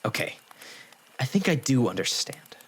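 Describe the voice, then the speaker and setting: A man playing a gruff but tender young half-demon in a fan audio drama, with a casual, halting, understated delivery. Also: mid-range voice, big pitch swings. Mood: awkward, tender.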